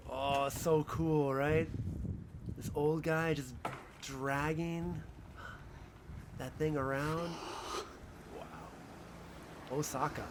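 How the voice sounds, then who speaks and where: A man's voice: fairly high pitch, steady tone, drawn-out words, long pauses, noisy background. A man, a ramen critic, casually reacting to videos on a long livestream with offhand running commentary.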